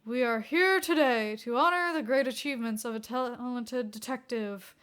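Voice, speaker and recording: A woman puts on a tired police chief in an audio story. He is placating and pleading, with a bright edge to the voice. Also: on the high side, moderate pace, clear, resonant tone, natural voice. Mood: formal.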